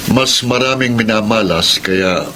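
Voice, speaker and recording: An actor playing a stern, cautioning friend in a Filipino radio drama, emphatic and admonishing, with a Filipino accent. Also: male voice, fairly low pitch, measured pace, noisy background, lightly acted.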